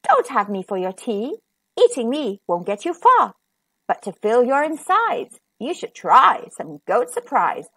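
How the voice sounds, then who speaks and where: A woman voicing a calm, steady billy goat, the middle brother. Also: a little high, a little slow, slightly rough, no echo, full character voice.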